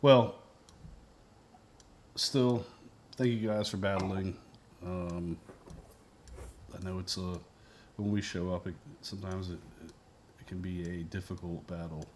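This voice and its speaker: Deep voiced guy hosting a game stream, chill and jokey, low voice. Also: fairly even intonation, measured pace, pauses often, slight background noise. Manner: laid-back, joking, encouraging. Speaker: streamer host. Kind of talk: casual stream commentary.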